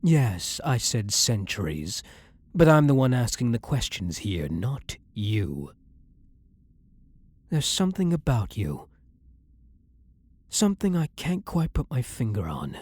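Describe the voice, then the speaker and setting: Man playing a slow, breathy, commanding vampire hunter. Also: mid-range voice, very animated, a little mellow, no room sound, exaggerated voice. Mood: grim, matter-of-fact. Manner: blunt, commanding, interrogating, slow and deliberate. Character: blunt, dominant centuries-old vampire who hunts vampires. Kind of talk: ASMR roleplay, intimate dramatic monologue.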